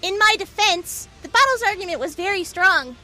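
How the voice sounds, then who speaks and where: Woman voicing a dramatic teen girl, excitable, squeaky high, loud music underneath. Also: normal speed. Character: hyper, reckless teen girl hero.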